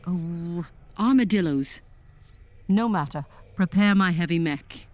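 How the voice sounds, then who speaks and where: A woman's voice: lowish voice, takes it slowly, darkish tone. A woman playing a commanding, impatient alien general in a sci-fi audio drama, clipped and drily sarcastic. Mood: stern, impatient.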